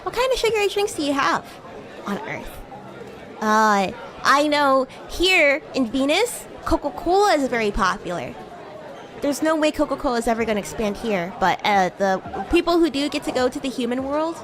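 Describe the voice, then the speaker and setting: A woman's voice: on the high side, animated, normal speed, a crowd in the background, natural voice. A woman streamer voicing a bratty, haughty yet sweet young demon classmate in improvised, teasing roleplay chat. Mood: chatty, teasing. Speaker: streamer, VTuber host.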